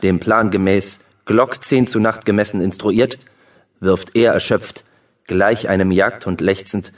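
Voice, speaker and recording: An actor playing a brisk, mocking courtier and officer in a classical verse drama, speaking in elevated, declamatory stage diction. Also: male voice, on the low side, distant mic, natural voice. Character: brisk mocking male courtier and officer reporting to his lord. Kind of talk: classical German verse drama audiobook acting.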